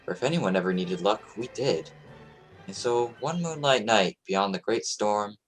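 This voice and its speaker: An actor playing an earnest, confident young sea captain in an old-time radio play. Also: male voice, on the low side, animated, normal speed, faint music behind, natural voice. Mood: solemn narration. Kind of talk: old-time radio play acting, narrating his log.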